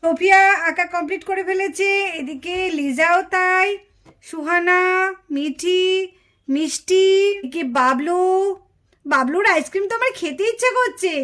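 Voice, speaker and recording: A woman's voice: very high voice, moderate pace, a bit bright. A woman voicing a kindly, encouraging auntie who judges children in a puppet cartoon. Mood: praising. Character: kindly auntie judging a children's drawing contest. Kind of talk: Bengali puppet-show cartoon acting.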